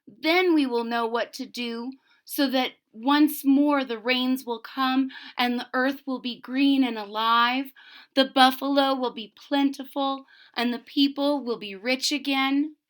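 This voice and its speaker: A woman voicing a small, earnest and hopeful girl from a storybook in a measured way. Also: high-pitched, fairly even intonation, pitched above the performer's own voice.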